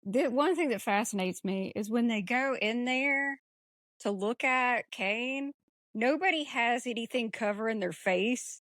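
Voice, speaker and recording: A woman's voice: on the high side, unhurried, a bit bright, no echo, clean studio sound. A woman co-hosting a podcast, discussing a film casually in a blunt, slangy, informal way. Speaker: podcast co-host.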